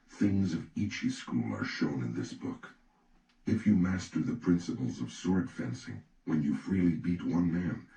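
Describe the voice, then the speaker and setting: Male narrator for a solemn audiobook reading: extremely low voice, dark tone, measured scripted delivery. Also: creaky. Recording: roomy, distant mic with faint noise.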